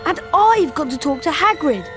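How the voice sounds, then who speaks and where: High-voiced, earnest schoolboy. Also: female voice, animated, moderate pace, music in the background. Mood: earnest, urgent. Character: earnest, brave young schoolboy hero.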